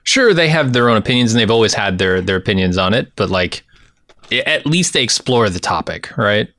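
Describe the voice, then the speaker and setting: A man's voice: on the low side, lively intonation, brisk, fairly bright tone, no room sound. An American man hosting a podcast in a casual, conversational way. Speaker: podcast host.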